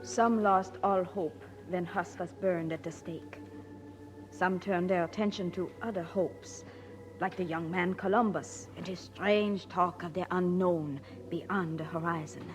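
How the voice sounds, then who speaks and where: A woman's voice: lowish voice, steady tone, measured pace. A woman narrating a radio drama in a dramatic, scripted storytelling style. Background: instrumental music.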